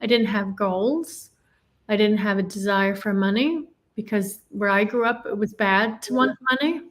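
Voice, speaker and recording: A woman's voice: medium pitch, normal speed. A woman from Ireland, telling her life story in an earnest, reflective way as an interview guest. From Northern Ireland.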